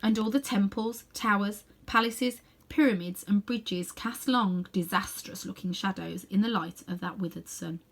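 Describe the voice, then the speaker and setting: A woman narrating a children's story aloud in an expressive storytelling style. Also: medium pitch, moderate pace, creaky, hardly any pauses. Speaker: storybook reader.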